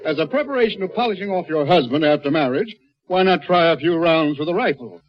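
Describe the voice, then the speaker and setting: A jovial, blustering older British major, played by a male actor in a vintage radio play. He is avuncular and bossy, with a fairly high voice for a man. The recording is dull and lo-fi. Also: lively intonation, quick pace, natural voice. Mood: hearty, bossy. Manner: jovial, blustering, avuncular, old-fashioned phrasing. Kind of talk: old-time radio drama acting.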